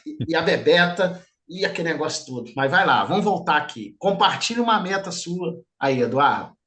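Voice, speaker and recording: A man's voice: a little high, expressive, moderate pace, a bit bright. A middle-aged man teaching a live online class, chatty and informal, often checking in with "tá?". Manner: chatty, informal, peppers speech with "tá?". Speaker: instructor. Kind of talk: live online class, lecturing and questioning students.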